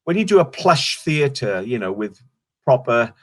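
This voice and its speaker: A man's voice: mid-range voice, big pitch swings, measured pace. Welsh man, rambling comedian. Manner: rambling, restarts sentences, swears casually. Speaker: stand-up comedian guest. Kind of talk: casual interview chat.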